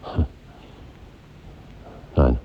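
An actor playing a weary, resigned married man in a 1950s German radio drama, speaking in a measured, reflective way. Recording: dull, noisy old radio recording.

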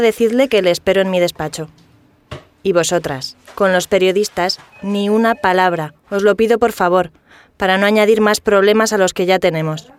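Stern female theatre director, played by an actress, mid-pitched, composed, polite but firm, audio drama. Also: close to an everyday voice.

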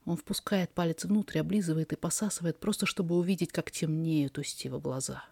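A narrator reading a fiction story aloud in an expressive, dramatized way. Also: mid-range voice, a little fast, gravelly, no room sound. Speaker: podfic reader, narrator.

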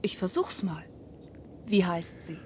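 An actress playing a helpful companion to a detective in a radio drama, casual and offhand in short phrases. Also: female voice, on the low side, takes it slowly, darkish tone, a little hiss or hum. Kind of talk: German radio drama acting.

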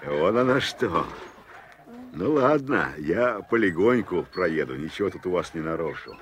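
Village carter for radio drama: folksy, kindly, slow-paced, in a noisy farmyard scene. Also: male voice, low-pitched, lively intonation. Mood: easygoing. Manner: unhurried, folksy. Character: easygoing rustic village carter, kindly toward children. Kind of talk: 1970s radio play acting.

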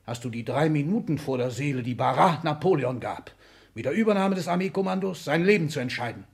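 A man's voice: fairly high pitch, animated, fairly quick, creaky. An actor playing a domineering, sardonic, ageing aristocratic father in a 1960s German radio play, lecturing with theatrical scorn. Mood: scornful, lecturing.

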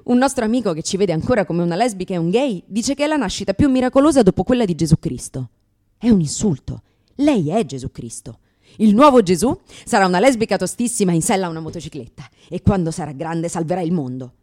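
A woman's voice: fairly low pitch, expressive, fast talker, darkish tone, natural voice. An actress in a radio theatre monologue playing a brash, sarcastic, exasperated new mother in her thirties. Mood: sardonic. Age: 30s.

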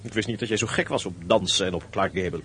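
An actor playing an eager, impatient fellow prisoner in a radio play. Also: male voice, on the low side, quick pace, bright, forceful tone, a little hiss or hum.

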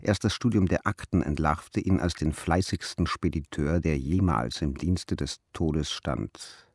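A man's voice: rumbling low, measured pace, darkish tone, slightly rough, no room sound, clean studio sound, heavily acted. A man giving a scripted literary narration.

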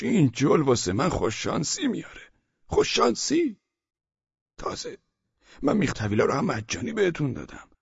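A crafty old village peasant with a raspy, gravelly voice, pitched high and swooping wildly up and down as he haggles. The sound is dry and a little dull, like a lo-fi recording. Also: darkish tone, no room sound, exaggerated voice. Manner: haggling, wheedling, insistent. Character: stubborn, cunning old male village peasant.

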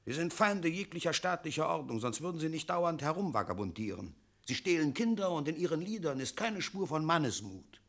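An actor playing a middle-aged town father in a radio play, resigned and self-satisfied, explaining things placidly in a gravelly, husky voice. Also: a little high, sing-song, fairly quick, few pauses, fairly bright tone, heavily acted. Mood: smugly defensive. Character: resigned, complacent townsman father defending the town's tyrant. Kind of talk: theatrical radio play acting.

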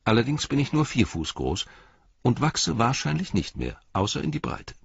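A man reading a storybook voices a playful male halfling with teasing bravado and a husky voice. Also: mid-range voice, sing-song, normal speed, dry recording, muffled recording, pitched above the performer's own voice, exaggerated voice. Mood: wry. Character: cheeky small male halfling, good-humored.

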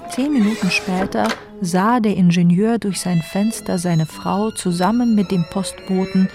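A woman's voice: medium pitch, unhurried, music in the background. A woman reading scripted literary narration as the narrator of a radio drama.

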